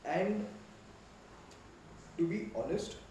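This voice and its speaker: A man's voice: high voice, expressive, extremely slow, mellow. Indian man, distant and echoey. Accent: Indian English. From Goa, India. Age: young adult. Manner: reflective, rambling with many restarts. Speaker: podcast guest. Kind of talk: casual chat. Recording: echoey room, distant mic, faint noise.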